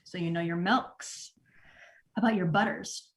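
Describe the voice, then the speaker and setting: Actress as hesitant, earnest sitcom manager, female, lowish voice. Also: steady tone, normal speed, close to an everyday voice. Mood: earnest, probing. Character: Nina, awkward, earnest female store manager. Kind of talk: sitcom table read.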